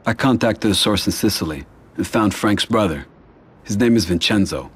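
Low, husky American mafia boss. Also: male voice, animated, normal speed. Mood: firm, commanding. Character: cold, controlled mafia boss, quietly menacing. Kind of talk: dramatic video game acting.

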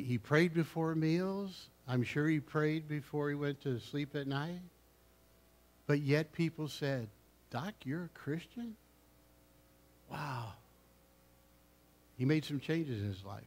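An older man, a pastor, preaching a story-filled sermon in a church sanctuary, rising loud on key phrases and dropping low, recorded from a camera at the back. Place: church sanctuary with seated congregation. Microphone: far camera at the back of the church.

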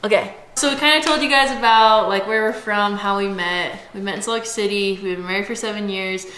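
Young woman vlogger in her late twenties, from Washington state, giggly storyteller, bright tone, roomy kitchen sound. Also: medium pitch, a little fast, a little hiss or hum. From Washington state, USA. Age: late 20s. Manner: chatty storyteller, giggly, lots of "like" and "um". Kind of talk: casual chat. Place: bright home kitchen, indoors. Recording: echoey room.

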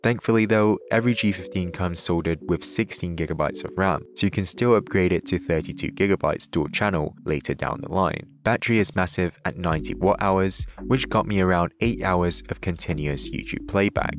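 A man narrating a scripted tech review in a measured, even way. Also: deep voice.

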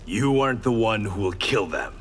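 An actor playing a calm, resolute young blind swordsman in a fighting game, composed and firm. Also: fairly low pitch, moderate pace, noisy background, lightly acted.

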